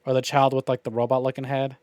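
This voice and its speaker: A man's voice: medium pitch, monotone, fast talker, darkish tone, dry recording. A man giving casual, opinionated livestream commentary on a visual novel game, with wry, profane grumbling. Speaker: game streamer.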